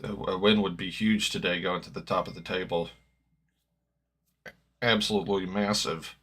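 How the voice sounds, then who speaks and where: Gravelly man reacting to a match. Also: low-pitched, fairly even intonation, normal speed, fairly bright tone. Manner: rambling, self-correcting, hopeful fan. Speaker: streamer reacting to a football match. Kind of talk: casual live-stream chat.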